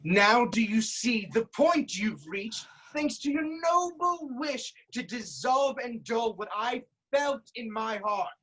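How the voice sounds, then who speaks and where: A woman's voice: fairly high pitch, animated, slow pace, hardly any pauses, a bit bright, heavily acted, low-quality recording. A woman playing a proud, suspicious king in a staged reading of Greek tragedy, with stern declamatory delivery. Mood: angry. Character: proud, suspicious, hot-tempered male king of Thebes.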